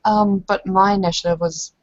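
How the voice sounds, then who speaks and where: A woman's voice: medium pitch, steady tone, normal speed, no room sound. A woman chatting casually as a player in a tabletop roleplaying game, heard over lo-fi voice chat.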